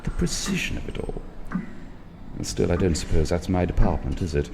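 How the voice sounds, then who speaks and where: An actor playing a pedantic, detached elderly doctor in an audio drama, speaking in wordy, precise musings. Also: male voice, sits low, sing-song, brisk, creaky, heavily acted. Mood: morbidly fascinated. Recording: noisy, long reverberant hall sound.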